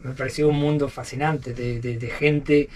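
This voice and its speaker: A man with an Argentine accent telling stories as a podcast guest, talking in a halting way and repeating words. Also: medium pitch, steady tone, darkish tone, far from the mic. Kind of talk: casual storytelling interview. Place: aboard a sailboat.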